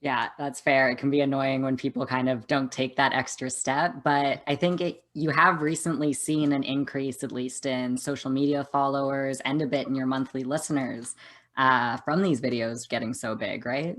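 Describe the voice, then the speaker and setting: A man hosting a conversational music interview, speaking in a supportive, measured way. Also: mid-range voice, level tone, a bit bright. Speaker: interview host.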